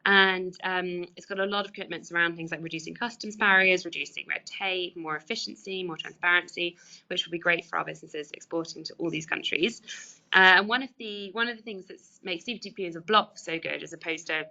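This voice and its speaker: A woman's voice: on the low side, steady tone, brisk, creaky, sharp tone. A woman presenting on a webinar in an informal, explanatory way, with a hesitant, conversational manner. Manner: hesitant, conversational, hedging with kind of. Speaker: trade official presenting. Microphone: online webinar call. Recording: dull, lo-fi webinar audio.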